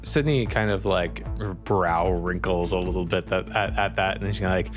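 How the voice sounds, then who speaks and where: A man narrating an improvised tabletop game as game master, halting and thinking aloud as he repeats words. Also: low-pitched, soft background music.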